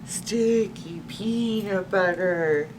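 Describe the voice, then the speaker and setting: A woman voicing a hungry, dreamy storybook zombie with slow, drawn-out moans. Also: mid-range voice. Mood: dreamy longing. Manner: drawn-out moaning. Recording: distant microphone with faint background noise.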